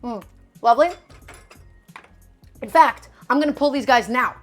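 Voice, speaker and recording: A woman's voice: on the high side, a little slow, soft background music. A young woman hosting a live cooking stream. She talks to her viewers in a chatty, upbeat way, often calling them "guys" and hyping them up. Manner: upbeat, hypes up the audience.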